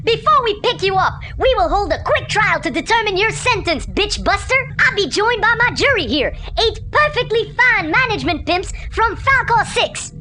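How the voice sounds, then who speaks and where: Woman doing a pompous evil planet boss, crazy high sharp voice, radio play villain. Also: sing-song, normal speed, hardly any pauses, faint music behind, exaggerated voice. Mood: smugly imperious. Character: pompous, vengeful supreme ruler of a criminal planet. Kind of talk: amateur radio play acting.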